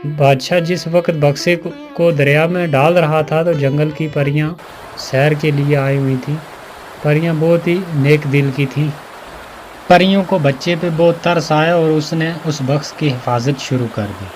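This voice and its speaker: Higher-pitched male storyteller over music. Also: fairly even intonation, measured pace, a little mellow, low-quality recording. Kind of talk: scripted moral story narration. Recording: music behind the voice.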